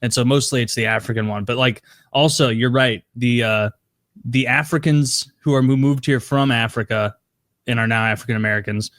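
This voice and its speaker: A man's voice: medium pitch, steady tone, moderate pace, a bit bright, no echo, studio quality. A man in his thirties co-hosting a podcast, rambling and joking with lots of fillers. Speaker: podcast co-host. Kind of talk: casual comedic chat.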